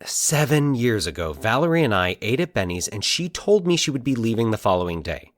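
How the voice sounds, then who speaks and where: Male narrator as brooding young man, baffled, musing to himself, swooping pitch, audio fiction, close dry recording. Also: sing-song, brisk, no room sound, natural voice. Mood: incredulous. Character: Mike, baffled, brooding young man investigating eerie coincidences. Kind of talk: fiction podcast dialogue within narration.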